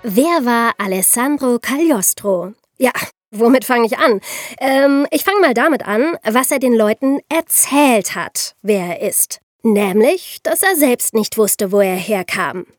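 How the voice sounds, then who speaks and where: A woman's voice: a little high, expressive, measured pace, dry recording, studio quality. A woman, co-hosting a podcast and telling history in a conversational storytelling style. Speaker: podcast co-host.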